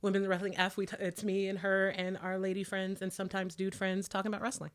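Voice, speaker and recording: A woman's voice: mid-range voice, completely flat delivery, fast talker, clean tone. Female podcast guest, casual, hesitant delivery. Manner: hesitant, many fillers. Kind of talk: casual chat.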